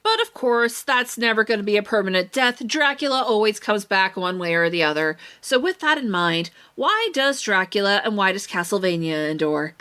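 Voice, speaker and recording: A woman's voice: mid-range voice, animated, moderate pace, few pauses, sharp tone, clear, resonant tone. A woman hosting a podcast in casual talk. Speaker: podcast host.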